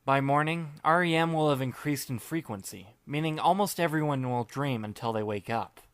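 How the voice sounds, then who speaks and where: A man narrating a fan audiobook in the first person as a wry, laid-back high school student, deadpan and musing. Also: normal speed, clear, resonant tone, no echo, clean studio sound, lightly acted. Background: music, plucked guitar.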